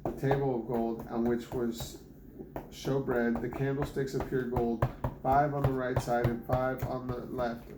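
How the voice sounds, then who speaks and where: A man reading scripture aloud in a measured, deliberate manner. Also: medium pitch, flat delivery. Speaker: Bible reader. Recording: roomy echo with clear background noise.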